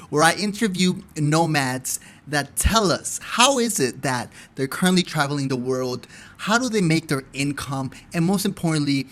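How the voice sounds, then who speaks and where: A man's voice: fairly high pitch, fairly bright tone. A man from Colombia hosting a travel podcast, interviewing in a friendly, laid-back way and calling his guest "bro" and "dude".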